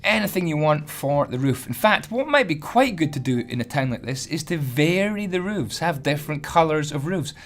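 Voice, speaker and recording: A hoarse man, chatty and self-deprecating as he gives a casual building tutorial voice-over. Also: a little high, very animated, moderate pace, few pauses. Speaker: Minecraft building tutorial host.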